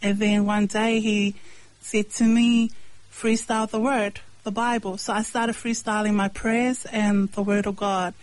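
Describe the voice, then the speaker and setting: A woman from Samoa, raised in New Zealand, sharing her faith testimony as an interview guest in plain, halting sentences with short answers. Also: mid-range voice, level tone, no echo, rough recording. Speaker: guest, hip-hop artist and evangelist. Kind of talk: earnest personal testimony.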